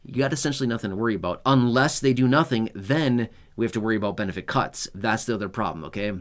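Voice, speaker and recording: A man's voice: fairly low pitch, fast talker. A man in his forties hosting a talking-head explainer vlog from a small home studio, chatty and reassuring, often tagging sentences with "okay?" and "right?". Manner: conversational, keeps checking in with "okay?" and "right?"; repetitive, reassuring, animated delivery. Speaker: YouTube channel host. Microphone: fixed camera at chest-up framing.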